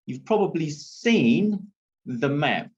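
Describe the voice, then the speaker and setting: Very slow, lively man from the UK. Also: a little high. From United Kingdom. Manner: very slow, lively, sing-song. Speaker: English teacher. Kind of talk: slow teaching chat. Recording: dull lo-fi sound.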